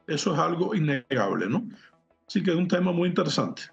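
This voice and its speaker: A man speaking as a technology expert guest, explaining things in a measured, didactic and precise manner. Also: fairly high pitch, very animated, creaky. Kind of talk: explanatory interview commentary. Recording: muffled lo-fi audio, music behind.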